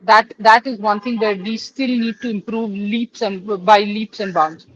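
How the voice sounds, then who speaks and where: A woman's voice: mid-range voice, fairly even intonation, unhurried, fairly bright tone, dull audio, slight background noise. A woman with an Indian English accent, talking through cricket questions in a casual live Q&A with many fillers and restarts. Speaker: cricket analyst answering viewer questions.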